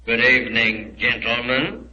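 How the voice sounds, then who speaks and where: An actor playing a suave, gloating villainous professor with precise, mock-courteous menace in 1940s radio drama style. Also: male voice, mid-range voice, fairly even intonation, unhurried, lightly acted. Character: suave, gloating male criminal mastermind professor. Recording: muffled, humming, noisy 1940s radio recording.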